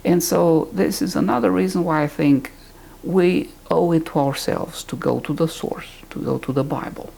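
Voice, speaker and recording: Gravelly man teaching. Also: fairly high pitch, a little mellow. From Romania. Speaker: Bible teacher. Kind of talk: recorded teaching talk to camera.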